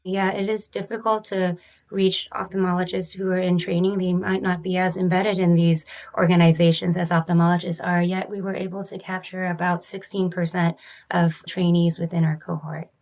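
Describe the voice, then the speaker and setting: A woman speaks in a low, even tone with little rise and fall. She explains her research in a measured, earnest way, the pace careful and steady. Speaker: ophthalmologist, study author. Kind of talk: podcast interview answers.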